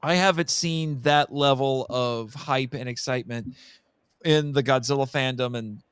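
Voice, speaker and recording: A man's voice: mid-range voice, measured pace, a bit bright, smooth, no echo. A man talking casually and enthusiastically about films as a podcast guest, with a jokey streak. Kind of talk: casual film discussion.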